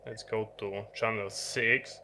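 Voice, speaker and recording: A man who is a non-native English speaker, giving a halting, unscripted hands-on explanation of a piece of gear as a video host. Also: lowish voice, flat delivery, creaky, sharp tone, slight background noise. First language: not English. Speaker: host of an electronics review channel. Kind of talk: unscripted hands-on product demonstration. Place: indoor electronics workbench.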